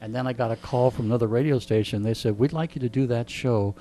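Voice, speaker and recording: A man in his sixties in a radio interview, chatting like an animated storyteller and turning aside to address listeners. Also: medium pitch, fast talker. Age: 60s. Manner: frequent throat clearing. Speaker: radio show host being interviewed. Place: radio studio. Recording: clear background hum and noise.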